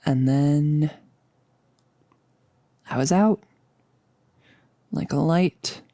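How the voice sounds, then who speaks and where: A close, dry ASMR roleplay voice with a mellow tone: a performer acting as a nervous girlfriend who jokes to cover it. The delivery is halting and confessional, unhurried, dipping into whispers. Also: medium pitch, no room sound. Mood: wry, reminiscing. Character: nervous, joking girlfriend confiding before becoming a vampire. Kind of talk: intimate ASMR audio roleplay.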